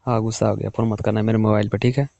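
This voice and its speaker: A man's voice: level tone, racing, gravelly, mellow, dry recording. A young man in a casual private chat on a phone call. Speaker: caller. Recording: muffled low-fidelity phone call recording.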